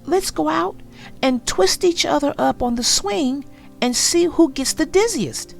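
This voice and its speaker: Woman voicing a high, bossy little girl bear cub. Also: lively intonation, unhurried, creaky, soft background music, exaggerated voice. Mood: eager. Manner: eager, pushy, exclaiming. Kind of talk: storybook character voice.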